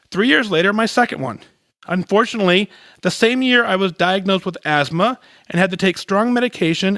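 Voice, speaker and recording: Podcast guy reading out a listener letter, fairly high voice, earnest and steady, dry close sound. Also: no room sound. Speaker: podcast host.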